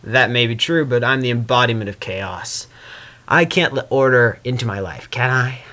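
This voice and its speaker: A man's voice: mid-range voice, normal speed, bright, forceful tone, voice pushed down, natural voice. A man voicing a vain, sarcastic, grumbling male spirit of chaos in a theatrical fan fiction reading. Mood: indignant. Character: Discord, male chaos spirit, sardonic, vain, wary prisoner. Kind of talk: dramatic first-person fan fiction reading.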